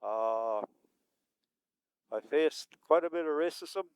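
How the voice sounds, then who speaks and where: A man's voice: a little high, animated, slow, clean tone, no echo, rough recording. A man in his seventies giving rambling, unscripted remarks at a community event, chuckling often as he talks. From Manitoba, Canada. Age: 70s.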